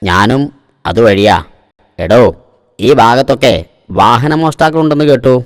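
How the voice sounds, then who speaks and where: A man's voice: mid-range voice, expressive, moderate pace, close to an everyday voice. A man voicing a self-assured but naive car owner, a comic simpleton in a cartoon. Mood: cocky, trusting.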